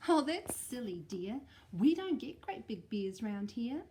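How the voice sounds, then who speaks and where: A woman's voice: a little high, very animated. A woman voicing a cheerful mother in a storybook who brushes off her child's fears. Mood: breezy. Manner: bright, brisk, reassuring.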